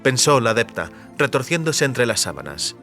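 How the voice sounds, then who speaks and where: A man's voice: mid-range voice, very animated, moderate pace, bright, forceful tone, faint music behind. A man reading scripted literary narration as an audiobook narrator.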